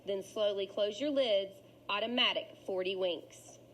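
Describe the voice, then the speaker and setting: A woman voicing a sleepy lady squid who gives brisk, helpful step-by-step bedtime advice in a children's storybook. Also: medium pitch, fairly bright tone, slight background noise, natural voice, rough recording.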